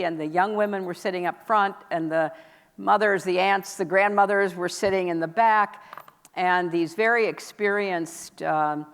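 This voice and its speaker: A woman hosting a conference session, giving a public speech in a measured, deliberate and emphatic way. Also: on the low side. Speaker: host presenting a commitment at a conference session. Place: large indoor event hall. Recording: echoey hall, long reverb.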